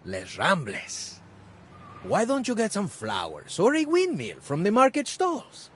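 Lively cartoon cat dad. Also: male voice, sits high, unhurried, breathy edge, faint music behind, heavily acted. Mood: welcoming. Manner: welcoming, enthusiastic. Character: welcoming cat father, cheerful city guide. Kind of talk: children's cartoon dialogue.

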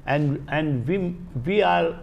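An older man with an Indian accent explains things as a studio guest. He speaks slowly in a gravelly voice, searching for words and repeating himself. A faint noise sits behind him. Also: on the high side, dull audio. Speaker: guest, Vastu healer. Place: small indoor TV studio. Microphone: lapel mic.

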